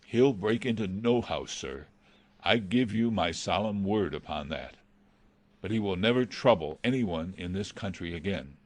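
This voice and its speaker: A deep-toned butler played by a man speaks with anxious respect and formal hesitation. He sounds loyal and pleading, as in a classic mystery story, recorded very dry. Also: animated, moderate pace, dry recording. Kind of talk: dramatised reading of a classic novel.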